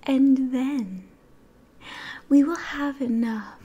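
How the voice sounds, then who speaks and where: Young woman doing a sly demon lady in asmr roleplay, breathy coaxing whisper, super slow and soft, mellow tone. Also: high voice, muffled recording, full character voice. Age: 20s.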